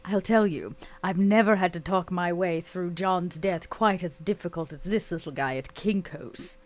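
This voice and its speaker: A woman's voice: lowish voice, moderate pace, lightly acted. A woman playing a charming, self-assured female vampire, theatrical and arch, in a tabletop roleplay podcast. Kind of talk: tabletop roleplay and in-character sponsor read.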